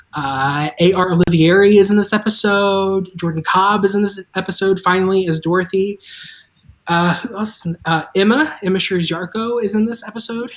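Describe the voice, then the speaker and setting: A host chatting casually on a livestream, making announcements in a loose, informal way that trails off mid-thought. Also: mid-range voice. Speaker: host and creator of an audio drama.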